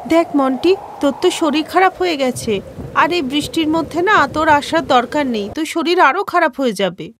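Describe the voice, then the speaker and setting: A woman's voice: high-pitched, expressive, moderate pace, a bit bright, slight background noise. A woman voicing a poor, anxious, hardworking mother who sells street snacks in a story, pleading and worried.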